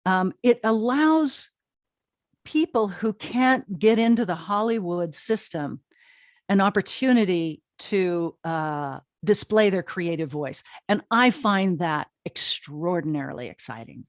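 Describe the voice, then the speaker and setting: A woman with a fairly low voice speaks as an interview guest, chatting informally and with emphasis. She sounds enthusiastic, and the recording is dry with hardly any room sound. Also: expressive, slow pace, no room sound. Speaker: interview guest, audio drama streaming co-founder. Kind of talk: conversational interview answers.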